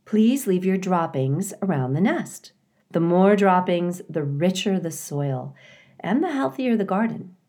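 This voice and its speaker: Woman reading as a gentle, practical mother doe. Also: fairly low pitch, lively intonation, a little slow. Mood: instructive. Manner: gentle, instructive. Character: gentle, practical adult doe, garden expert.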